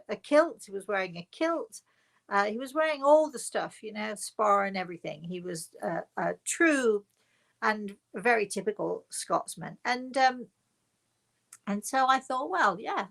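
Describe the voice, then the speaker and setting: A woman's voice: mid-range voice, creaky, a bit bright. A woman telling a personal story informally to an online audience, conversational and emphatic, stretching and repeating words for emphasis. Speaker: spiritual medium telling her story.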